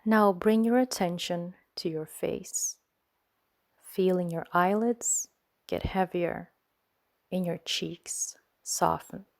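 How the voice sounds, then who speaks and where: A woman leading a guided sleep meditation in a soothing, gentle manner, speaking in short phrases with unhurried pauses. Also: on the low side, fairly even intonation, vocal fry.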